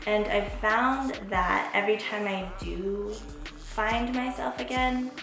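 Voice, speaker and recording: Gravelly-voiced young woman vlogging candidly. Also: medium pitch, slow, fairly bright tone. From Las Vegas, USA. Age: 20s. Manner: candid and reflective, casual swearing. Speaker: vlog host. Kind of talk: casual vlog monologue. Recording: echoey room with background music.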